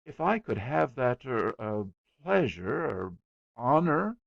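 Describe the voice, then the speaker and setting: A man's voice: mid-range voice, sing-song, vocal fry, no room sound, muffled recording, pitched above the performer's own voice, heavily acted. A man voicing a bashful, lovesick young man who stammers and halts. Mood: flustered stammering. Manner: stammering, halting with fillers.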